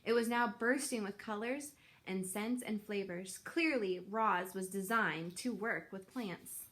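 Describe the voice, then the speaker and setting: A woman narrating a children's book aloud in an expressive storyteller style. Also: medium pitch, unhurried.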